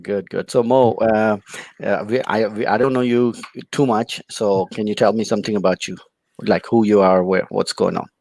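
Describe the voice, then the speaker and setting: A man hosting a casual interview chat, friendly and relaxed, with frequent short acknowledgements. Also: on the low side, normal speed, vocal fry, no echo. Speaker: host.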